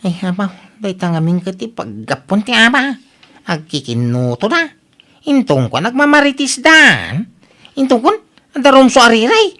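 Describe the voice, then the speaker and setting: A woman with an Ilocano accent playing an excitable, gossipy, skeptical villager who exclaims a lot in a comedy radio drama. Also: on the high side, normal speed. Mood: startled, gossipy.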